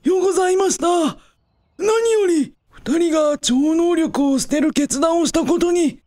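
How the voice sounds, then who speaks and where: Woman acting as high-voiced, deferential older hotel cook. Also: moderate pace, mellow. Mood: grateful, relieved. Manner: formal, humbly polite. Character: deferential older hotel cook, grateful parent of grown daughter. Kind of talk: scripted radio drama acting.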